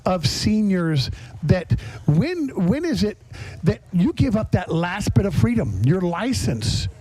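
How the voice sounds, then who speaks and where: A man's voice: sits high, very animated, slow, dark tone, no echo. A man hosting a podcast in a casual, folksy way, often interjecting and repeating his phrases. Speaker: podcast host. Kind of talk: casual live chat.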